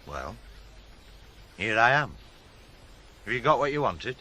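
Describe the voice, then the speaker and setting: Gruff Northern father with wildly swinging pitch. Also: sing-song, takes it slowly, pushed and bright, full character voice. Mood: bitter. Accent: Northern English. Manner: gruff, clipped, dismissive. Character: curt, bitter working-class father, guarded and cold. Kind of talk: 1980s radio drama acting. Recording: faint noise on old radio broadcast.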